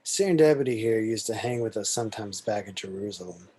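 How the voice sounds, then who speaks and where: A man playing a blunt, wry dead apostle with a slightly husky voice, in a script table read. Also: on the low side, expressive, fast talker, dark tone. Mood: blunt, teasing.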